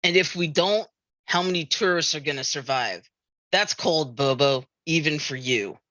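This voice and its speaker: A woman's voice: deep voice, normal speed, a bit bright, no room sound. A woman reading the part of a worried, exasperated beach-town sheriff in a musical comedy table read, pointed and incredulous. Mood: urgent, reproachful.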